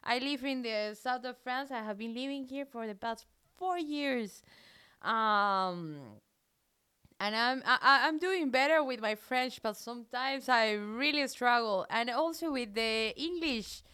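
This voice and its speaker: A Colombian woman in her thirties hosts a radio show in English, her second language, with a Colombian accent. She talks slowly and haltingly, searching for words. Her voice is slightly high, bright and very clear. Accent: Colombian Spanish. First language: Spanish. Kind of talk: casual radio chat.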